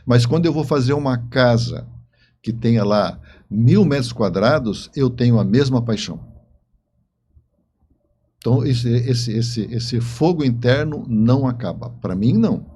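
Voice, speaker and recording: A man, telling stories in an interview in a halting, emphatic way with repeated words. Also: medium pitch, animated, warm tone, slight background noise. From southern Brazil. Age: 70s. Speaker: interview guest, writer and engineer. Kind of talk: conversational interview storytelling.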